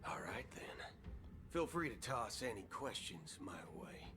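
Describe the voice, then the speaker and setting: Video game gunslinger: folksy cyborg, swaggering, very animated pitch, noisy ambience behind. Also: male voice, a little slow. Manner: drawling, theatrical, folksy. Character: swaggering cocky male cyborg gunslinger, folksy space cowboy.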